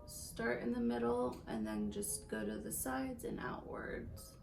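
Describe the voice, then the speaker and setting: A raspy woman in her late twenties with a gravelly edge, chatting her way through a makeup routine. She rambles from tip to story and laughs in between, with quiet music playing behind her. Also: fairly high pitch, big pitch swings, unhurried. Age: late 20s. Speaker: beauty vlogger. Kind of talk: casual chatty makeup tutorial.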